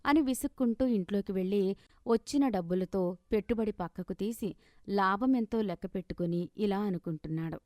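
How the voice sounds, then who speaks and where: A woman's voice: medium pitch, normal speed, dark tone, no room sound. Dry, close female story narrator. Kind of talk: scripted moral story narration.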